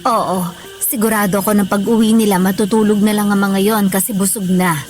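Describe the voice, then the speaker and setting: A woman's voice: medium pitch, flat delivery, brisk, a little mellow, faint music behind, natural voice. A woman with a Filipino accent playing a hardworking, long-suffering older mother in a radio drama, plaintive and motherly. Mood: grateful, modest.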